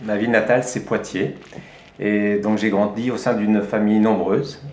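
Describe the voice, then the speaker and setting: A man's voice: on the low side, little pitch movement, moderate pace, a little mellow, roomy sound. A middle-aged man from France, a life coach telling his story in a chatty interview with frequent hesitations. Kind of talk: conversational interview.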